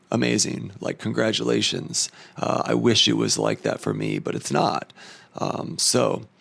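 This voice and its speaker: A man losing his voice and tired, talking in a thoughtful, rambling personal monologue with many fillers. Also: on the low side. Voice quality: hoarse, gravelly. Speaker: vlogger.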